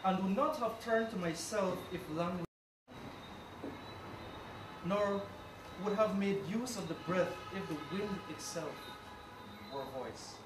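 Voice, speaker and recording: A man reciting a poem in a deliberate, declamatory way. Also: sits high. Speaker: poetry reader, linguistics lecturer. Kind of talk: poetry recital. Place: indoor recital event room. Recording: distant mic, echoey room, loud noise.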